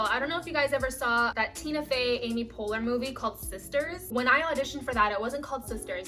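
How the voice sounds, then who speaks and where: A woman's voice: on the high side, recorded from a distance. Chatty young woman telling stories. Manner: chatty, animated, lots of "like". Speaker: actress and vlogger. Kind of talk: casual storytime chat.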